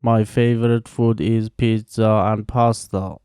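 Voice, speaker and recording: Careful, mellow man. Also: lowish voice, little pitch movement, clear, resonant tone, dry recording, clean studio sound. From Iran. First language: Farsi. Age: 20s. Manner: slow, careful, evenly paced delivery with pauses between answers. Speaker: language teacher. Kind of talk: reading scripted practice answers.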